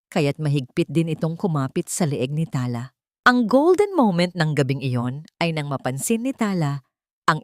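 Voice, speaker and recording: Low-voiced woman narrating a dramatic story. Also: no room sound, studio quality.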